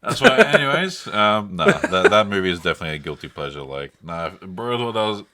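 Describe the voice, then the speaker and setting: A man's voice: sits low, very animated, a little fast, heavy background noise. A man chatting casually as a podcast host, slangy and informal with some swearing. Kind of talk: casual chat.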